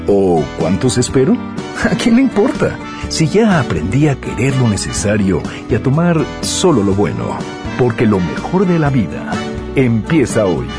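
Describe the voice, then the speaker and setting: Man, promo voice-over, wildly expressive pitch, loud guitar music under it. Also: normal speed. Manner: dramatic, expressive. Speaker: promo narrator.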